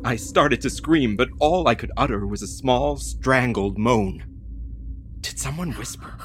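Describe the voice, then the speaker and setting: An actor playing a frightened ex-military delivery courier, telling a horror story in a theatrical, deliberate, suspenseful way. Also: male voice, medium pitch, a little hiss or hum, lightly acted. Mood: dazed fear. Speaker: narrating lead actor. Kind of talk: dramatic first-person horror audio play narration.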